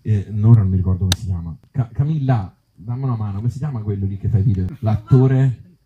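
A man with a Tuscan accent talking informally at a live event as an actor and author, in halting, circling phrases with blunt joking asides. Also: lowish voice, narrow, tinny audio. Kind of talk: informal live talk with the audience. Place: outdoor evening event in an olive grove.

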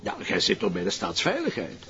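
A man with a Flemish accent playing a brisk, wry police inspector in naturalistic TV drama dialogue, protective of colleagues. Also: deep voice, wildly swooping pitch, pushed and bright, full character voice. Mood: brisk, commanding. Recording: dull lo-fi TV soundtrack.

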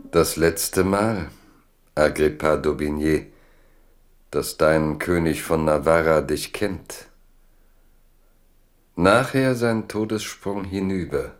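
Male radio drama narrator, extremely low voice, unhurried delivery, solemn and measured. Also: soft background music.

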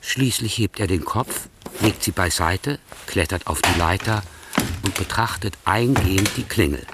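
A man narrating a radio play in a calm, even storytelling manner. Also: low voice, measured pace, heavy background noise.

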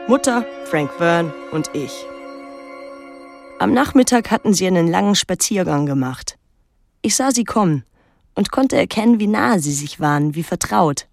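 An actress playing a troubled, watchful daughter who narrates an island mystery in a measured, literary way. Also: female voice, lowish voice, expressive, creaky, faint music behind. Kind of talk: literary radio drama narration and dialogue.

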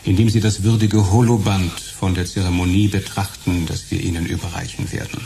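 A pompous undertaker in a radio play, with a low, gravelly voice over clear background noise. He is oily and evasive, wrapping everything in stiff formal phrases. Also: little pitch movement, brisk. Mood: smoothly condescending. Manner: pompous, unctuous, stilted. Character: unctuous, evasive male funeral director, stiffly formal.

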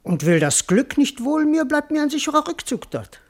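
Cheeky coward of a servant, swoops all over, wordy and puffed up, old-school verse radio play. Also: male voice, very high-pitched, sing-song, moderate pace. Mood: cheeky, nervous bravado. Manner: declamatory, wordy, comically self-important. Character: cowardly, cheeky, boastful male servant, talking to himself. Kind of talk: theatrical classical verse radio drama.

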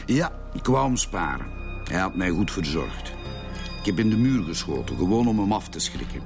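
An actor playing a cold, menacing Flemish armed robber in a radio drama, matter-of-fact about violence. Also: male voice, deep voice, sing-song, normal speed. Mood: matter-of-fact confession. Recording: music behind the voice.

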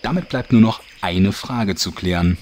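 Guy as low voiced deadpan narrator, formal, comedy audio drama, bit of noise behind. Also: moderate pace, natural voice. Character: deadpan, officious narrator and director of a parody show.

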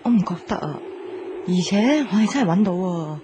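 A woman's voice: on the low side, brisk, noisy background, close to an everyday voice. A woman acting as a romantic, candid young woman in a radio drama, with a Hong Kong Cantonese accent. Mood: tender.

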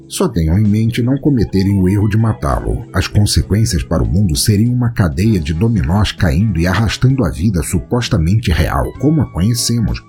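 A man's voice: fairly low pitch, mellow. A man with a Brazilian accent doing scripted storytelling and podcast hosting in a theatrical, florid manner. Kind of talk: scripted audio-drama narration and hosting.

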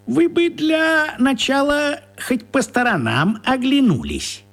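A man's voice: extremely high pitch, big pitch swings, slow, vocal fry, a bit bright, exaggerated voice. An actor voicing a cheeky male talking cat with a mocking, mock-courteous manner in a children's radio play. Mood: mockingly polite. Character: cheeky grey talking tomcat, mockingly polite.

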